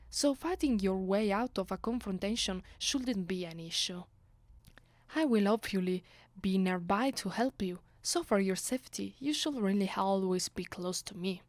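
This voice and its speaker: A woman's voice: medium pitch, normal speed, no room sound, natural voice. A woman with an Italian accent playing a commanding, teasing vampire noblewoman in an ASMR roleplay, imperious and playful, often slipping toward a whisper. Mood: instructive, imperious. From Italy. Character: commanding, teasing, seductive aristocratic female vampire. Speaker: voice actress.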